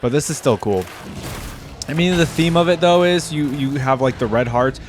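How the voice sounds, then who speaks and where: A man's voice: fairly high pitch, animated, normal speed, slight background noise. A man doing casual reaction commentary as a video host, musing and thinking aloud in an informal way.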